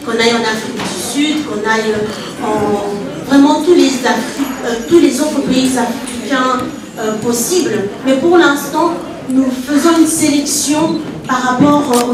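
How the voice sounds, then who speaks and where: A woman from Congo speaks in a high voice, earnest and halting, to a small audience in an ornate lounge. She holds a mic, but the camera sits far back in a very echoey room. Steady background noise fills the recording. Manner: earnest, halting, many restarts and fillers. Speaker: event organizer presenting her art business. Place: ornate lounge with red walls, indoors. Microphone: handheld mic, filmed from far back.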